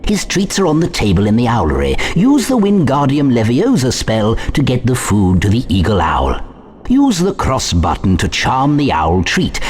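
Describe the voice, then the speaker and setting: A genial old-fashioned shopkeeper talks with hugely animated swoops in a medium-pitched voice. He is theatrical, effusive and very polite, as a video game character. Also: slightly rough.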